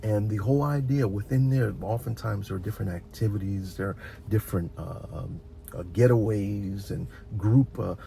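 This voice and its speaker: Deep, gravelly man talking off the cuff. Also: mellow, a little hiss or hum. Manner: halting, repeats words and restarts often; earnest, encouraging, direct address to listener. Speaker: video host. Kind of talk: rambling, unscripted advice talk.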